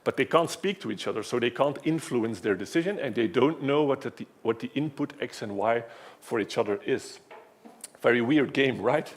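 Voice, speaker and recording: A man's voice: mid-range voice, normal speed. A man giving a live technical talk at a conference, explaining step by step in a self-deprecating way with frequent restarts and fillers. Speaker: conference speaker.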